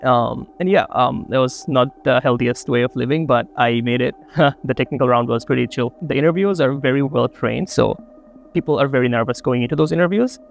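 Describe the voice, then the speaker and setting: A young man from Bangladesh talks through his answers in an easygoing, meandering way, leaning on plenty of fillers. His mid-pitched voice has a gravelly, fry-laden edge. Faint music sits underneath. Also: a little fast. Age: young adult. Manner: relaxed, rambling with many fillers. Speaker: interview guest, student. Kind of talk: casual interview answers.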